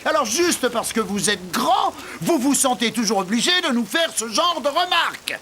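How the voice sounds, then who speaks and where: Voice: mid-range voice, sing-song, moderate pace, bright, forceful tone, a little hiss or hum. An actor playing a vain, indignant, boastful scarab beetle in a children's cartoon, with a pompous, whiny, theatrical delivery. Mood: haughty, aggrieved. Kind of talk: French-dubbed children's cartoon acting.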